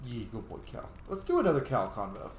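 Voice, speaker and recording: A man giving casual, expressive, informal commentary as he plays through a game. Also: medium pitch, fairly quick. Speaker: gamer, video host. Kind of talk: casual playthrough commentary with roleplayed replies. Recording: echoey room, faint background noise.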